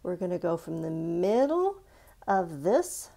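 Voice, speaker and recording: A woman's voice: lowish voice, big pitch swings, unhurried, creaky, warm tone. A woman giving a step-by-step drawing lesson for young children in simple, encouraging words, often checking in with questions. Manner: simple, encouraging, instructional, asks the listener questions.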